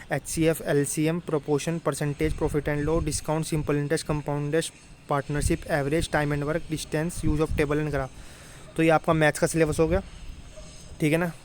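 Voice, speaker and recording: A man's voice: a little high, darkish tone, slight background noise. A young man informally explaining to students as an exam tutor, colloquial and often checking that they follow. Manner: colloquial, repeatedly addresses listeners as brother, checks understanding.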